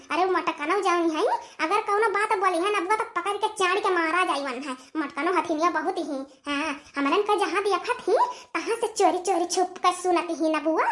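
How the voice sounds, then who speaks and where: A woman plays a young, scheming village daughter-in-law in a cartoon. Her voice is extremely high and piercing, with an Awadhi accent and a gossipy, emphatic delivery that keeps repeating phrases. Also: a bit bright.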